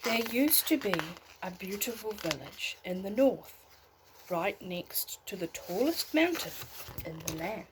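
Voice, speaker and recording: A woman reading a bedtime story aloud in an expressive way, as if talking to young listeners. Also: mid-range voice, unhurried, background noise. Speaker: storybook reader.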